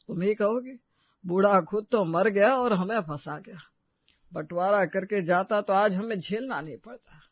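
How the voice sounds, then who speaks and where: Man acting elderly, high-pitched family patriarch. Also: no room sound, dull audio, natural voice. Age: older. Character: elderly family patriarch, caring, practical father-in-law. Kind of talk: Hindi audio story acting.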